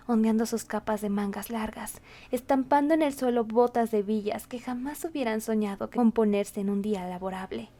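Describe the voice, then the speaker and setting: A woman's voice: on the high side, dark tone. A woman reading scripted storybook narration as the narrator.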